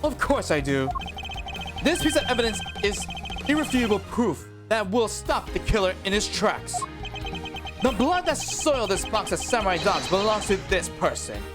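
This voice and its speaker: A man voicing a calm, confident male prosecutor who reasons aloud in a measured, deliberate way over game music. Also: sits high.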